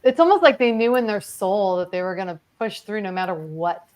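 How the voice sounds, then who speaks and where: A woman's voice: mid-range voice, lively intonation, a little fast, darkish tone. A woman hosting an interview, enthusiastic and affirming, with many "mm-hmm"s. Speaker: interview host. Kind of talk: casual interview.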